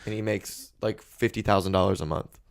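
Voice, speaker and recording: A man hosting a casual podcast, talking bluntly and swearing freely, with his voice getting hoarse. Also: on the low side, fairly even intonation, a little fast. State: losing his voice. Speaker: podcast host. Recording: music bed behind the voice.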